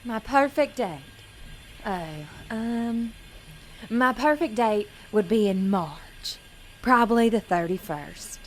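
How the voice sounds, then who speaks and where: An actress playing a sweet, nervous, dim young pageant contestant in an audio drama, apologetic and naive. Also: female voice, a little high, takes it slowly, slight background noise, poor audio. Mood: earnest, rambling.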